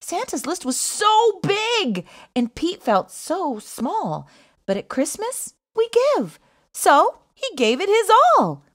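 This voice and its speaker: Slow, very animated high-voiced woman storyteller. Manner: sing-song, emphatic rhyming delivery. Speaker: children's book reader. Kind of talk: expressive read-aloud storytelling.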